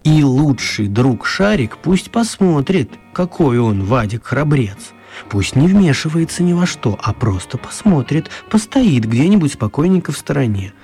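A man narrating a children's radio play as an expressive storyteller, with theatrical, deliberate phrasing. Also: mid-range voice, faint music behind.

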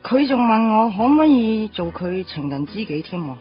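A woman's voice: on the high side, very animated, moderate pace, highs cut off, soft background music. An actress with a Hong Kong Cantonese accent playing a playful, candid young woman in a radio drama, casual and teasing. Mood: coy, teasing.

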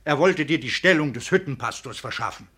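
An actor playing an elderly provost and fatherly uncle in a German radio drama, husky and emphatic, given to indignation. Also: a little high, expressive, a little fast, sharp tone, full character voice. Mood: shocked, indignant. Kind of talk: German radio play acting.

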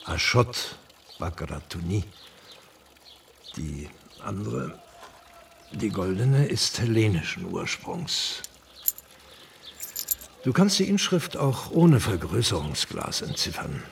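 An actor playing a frail, pious old Turkish gentleman in a radio drama, slow, courtly and philosophical. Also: fairly low pitch, sing-song, vocal fry, recorded from a distance, a little hiss or hum, heavily acted. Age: older.

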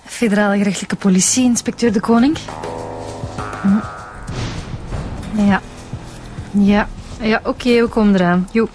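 Actress doing flemish police woman, practical, normal pitch, radio play, music behind. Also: fairly even intonation, normal speed, long pauses, darkish tone, lightly acted. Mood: matter-of-fact. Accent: Flemish Belgian. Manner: matter-of-fact. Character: efficient, level-headed female police inspector. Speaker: actor in a radio serial. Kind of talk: naturalistic radio drama acting.

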